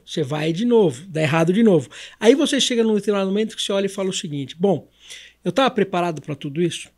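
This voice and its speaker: A man's voice: high voice, a little mellow. A Brazilian man as a podcast guest, explaining conversationally in a didactic way with repetition and self-answered questions. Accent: Brazilian Portuguese.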